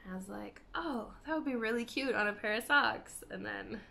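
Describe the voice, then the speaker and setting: A woman in her thirties from Canada hosting a knitting video podcast, chatting casually and meandering, hoarse from a cold. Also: a little high, moderate pace, sharp tone. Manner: chatty, meandering, self-correcting. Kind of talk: casual chat to camera. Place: living room by a window, indoors.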